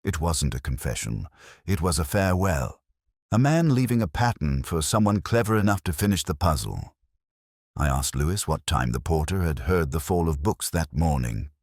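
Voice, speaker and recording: Very deep, weary detective played by an actor. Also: male voice, sing-song, darkish tone, no room sound, studio quality, heavily acted. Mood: pensive, sombre. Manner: measured, literary, sombre delivery. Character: weary, melancholy, reflective male English detective narrator. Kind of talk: scripted first-person mystery narration.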